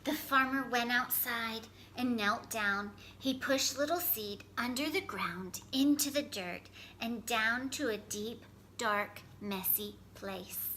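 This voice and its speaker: A woman storyteller reading a children's picture book aloud, expressive and careful in her phrasing. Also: on the high side, takes it slowly, slight background noise, rough recording. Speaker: storyteller, host.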